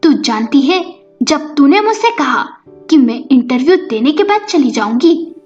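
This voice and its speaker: A woman voicing a betrayed, stern wife in a story, scolding and accusing in a dramatic manner. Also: high voice. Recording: dull lo-fi audio with music.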